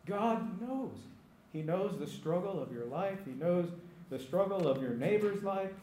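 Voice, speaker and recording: A man's voice: high-pitched, lively intonation, unhurried, warm tone. A man preaching a sermon as pastor, emphatic and repetitive, asking the congregation rhetorical questions. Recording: echoey room with faint ambient noise.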